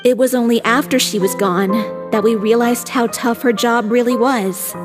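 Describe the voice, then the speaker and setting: A woman's voice: a little high, fairly even intonation, moderate pace, natural voice. Woman playing an earnest ailing troupe singer. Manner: earnest, scolding when worried. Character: chronically ill young female troupe singer, earnest. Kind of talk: game cutscene dialogue. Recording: reverberant game mix with faint music.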